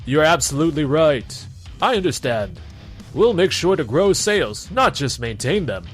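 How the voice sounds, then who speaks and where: A man's voice: on the high side, sing-song, normal speed, soft background music. A man playing a defensive, blustering middle manager in an anime-style manga dub. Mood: nervous, placating. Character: defensive, flustered male middle manager, eager to placate.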